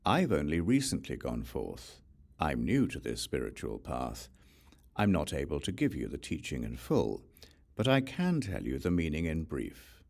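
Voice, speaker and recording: A man voicing a humble, serene young Buddhist monk in a scripture audiobook, speaking modestly and deliberately. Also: very deep voice, wildly swooping pitch, gravelly, a little mellow, pitched below the performer's own voice, full character voice. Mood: humble, calm.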